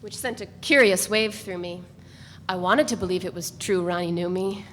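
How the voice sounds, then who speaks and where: A woman reading first-person literary narration aloud in a measured, even way. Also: fairly low pitch. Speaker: reader. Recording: echoey room with faint noise.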